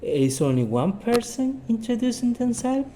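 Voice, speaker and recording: A man's voice: very high voice, sing-song, moderate pace, mellow, slight background noise. A man teaching English by reading a short story and drilling it with questions he asks and answers himself, repeating each sentence.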